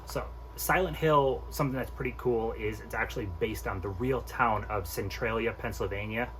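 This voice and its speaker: A man's voice: mid-range voice, moderate pace, a bit bright, low-quality recording. A man hosting a casual movie countdown review, speaking informally and with strong opinions, with some mild swearing. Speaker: host of a movie countdown video.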